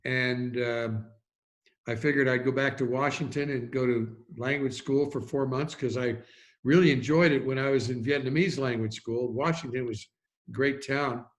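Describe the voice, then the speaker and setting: A man's voice: medium pitch, fairly even intonation, moderate pace. Older man telling rambling stories. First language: English. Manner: rambling, reminiscing storyteller. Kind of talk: casual anecdotal chat. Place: online video call.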